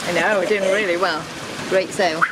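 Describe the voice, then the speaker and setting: A woman's voice: lowish voice, steady tone, normal speed. Teasing woman on a windy boat. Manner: teasing, chatty. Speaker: vlogger, sailor. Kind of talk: casual chat. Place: on a sailboat at sea. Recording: loud wind and water noise.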